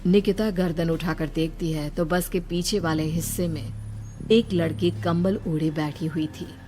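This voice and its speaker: A woman's voice: fairly low pitch, fairly even intonation, measured pace, noisy background. A woman reading a scripted horror story as its narrator. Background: background music.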